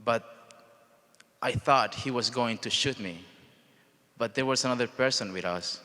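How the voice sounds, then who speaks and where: A young man from El Salvador with a Salvadoran Spanish accent gives a prepared personal speech in short, deliberate phrases. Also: mid-range voice, sharp tone. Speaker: speaker telling his life story at a charity event. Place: large event hall, speaking to an audience. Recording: reverberant large hall sound.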